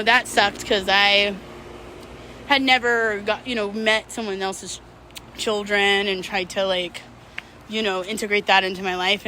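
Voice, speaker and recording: A woman's voice: mid-range voice, sharp tone, slight background noise. A middle-aged woman talking casually and unscripted to her viewers, candid and slangy, rambling and drifting mid-thought. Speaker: vlog host.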